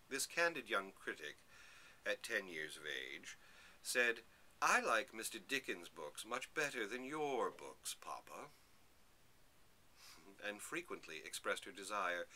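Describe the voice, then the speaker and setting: A man's voice: lowish voice, sharp tone. A man giving a dramatic, emphatic reading of a Victorian literary lecture in an oratorical manner. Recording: hissy, noisy recording.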